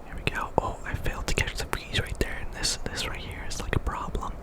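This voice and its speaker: A man speaks entirely in a whisper, giving ASMR commentary over gameplay. He rambles casually and conversationally, as if chatting to viewers while he plays. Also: a little fast. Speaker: video game streamer and host.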